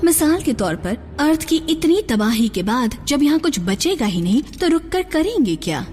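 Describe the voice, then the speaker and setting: A woman's voice: high-pitched, big pitch swings, moderate pace, a little mellow. A woman voicing a cunning female robot Decepticon commander in a cartoon dub, speaking in a cold, commanding, scheming manner. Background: music.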